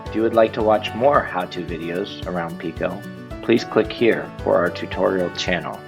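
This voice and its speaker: A low male voice with noticeable vocal fry delivers scripted announcer narration. Music plays clearly behind him as he reads in a promotional tutorial style. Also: normal speed.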